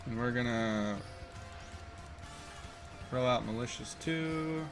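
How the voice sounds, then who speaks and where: A man in his twenties giving casual gameplay commentary from a room, thinking out loud and swearing in quick bursts of excitement. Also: mid-range voice, music in the background. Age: 20s. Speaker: streamer playing a card game. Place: room indoors.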